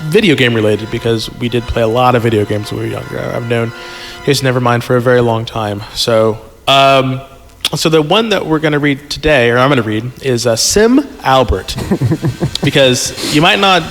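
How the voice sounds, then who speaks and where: A man's voice: mid-range voice, normal speed, fairly bright tone, in a large hall. Jokey male podcast guest, faint music. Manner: joking, digressive, repeats himself. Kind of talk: casual chat and story reading with asides.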